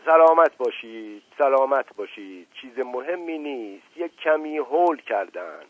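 A man's voice: medium pitch, animated, dry recording, pitched above the performer's own voice, lightly acted. A man voicing a polite, flustered family doctor who soothes and placates. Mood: placating. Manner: soothing, placating, repeats himself. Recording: tinny narrow-band, dry.

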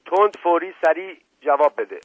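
A man's voice: medium pitch, very animated, slightly rough, pitched above the performer's own voice. A man voicing a huge, bullying police inspector in a story, impatient and barking short interrogation commands. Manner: barks short commands, repeats urgent demands. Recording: roomy lo-fi radio-like narrow band.

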